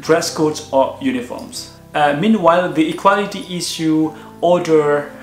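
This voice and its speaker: A man's voice: fairly high pitch, measured pace, roomy sound, faint music behind. A man in his thirties from Indonesia, explaining to camera on a sofa at home in an earnest manner, with frequent "uh" fillers. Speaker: vlogger. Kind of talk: explanatory video talk to camera. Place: living room with a sofa, indoors.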